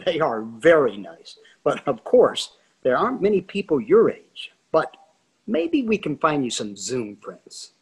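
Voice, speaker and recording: An older actor playing a divorced, teasing friend who makes wisecracks, on a video call. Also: male voice, fairly high pitch, wildly swooping pitch, unhurried, slightly rough, full character voice.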